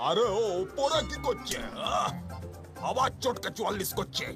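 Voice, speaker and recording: A scheming, bossy gang boss from a cartoon dub. The voice is high for a man and exaggerated, with music playing behind it. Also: lively intonation, normal speed. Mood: annoyed. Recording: music under the voice.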